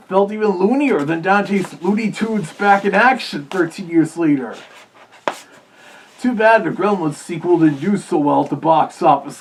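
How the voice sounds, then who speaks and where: High-voiced man giving casual commentary. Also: slight background noise, rough recording. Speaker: reviewer. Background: read-along record playing with music.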